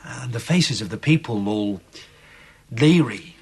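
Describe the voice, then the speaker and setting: An actor playing a measured, curious police inspector in a radio drama, with calm, probing questions. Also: male voice, mid-range voice, breathy edge, heavily acted.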